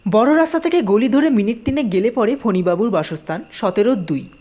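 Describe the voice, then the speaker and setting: A woman narrating a scripted audio story in an expressive storytelling manner. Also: fairly high pitch, fairly quick.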